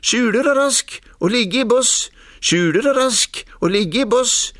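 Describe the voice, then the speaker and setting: A man voicing a sly, teasing fox in a thin, shrill, chanting voice for a folk tale. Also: fairly bright tone, no echo, clean studio sound. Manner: chanting, repetitive.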